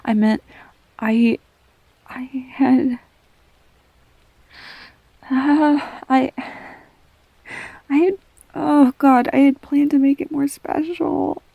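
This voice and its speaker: A woman playing a tender, flustered girlfriend in an intimate roleplay audio, speaking softly and haltingly, often slipping toward a whisper. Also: high-pitched, steady tone, creaky, no room sound, phone-quality sound, full character voice. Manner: halting, stammering, soft-spoken, breaking into whispers. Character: tender, flustered girlfriend blurting out a marriage proposal.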